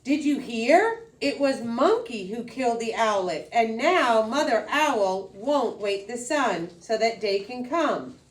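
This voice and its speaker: Lady reading as a solemn storybook lion king, high voice, big swoops, echoey room. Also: very animated, slow, hardly any pauses. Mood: grave questioning. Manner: declamatory, repeating words for weight. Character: solemn male lion king questioning his animal council. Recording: roomy echo, distant microphone.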